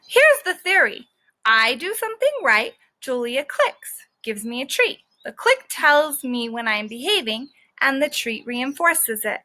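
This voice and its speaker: A woman's voice: high voice, big pitch swings, unhurried, bright, forceful tone, heavily acted. A woman voicing a cocky, stubborn stray dog who tells his story in sassy, colloquial children's storybook style. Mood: grumbling, wry. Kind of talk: children's book read-aloud.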